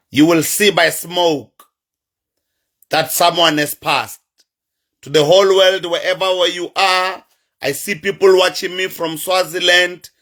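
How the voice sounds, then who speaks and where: A South African man with a Sesotho-influenced accent, a traditional healer addressing his online followers in a slow, emphatic, repetitive, preacher-like way. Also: on the high side, a bit bright. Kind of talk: livestream address to viewers.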